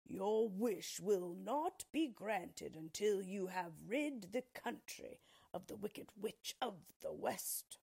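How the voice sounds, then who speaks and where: A stern, all-powerful male wizard who speaks in slow, solemn pronouncements, as a woman reading a storybook puts him on. His voice is mellow and very breathy. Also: mid-range voice, lively intonation, full character voice.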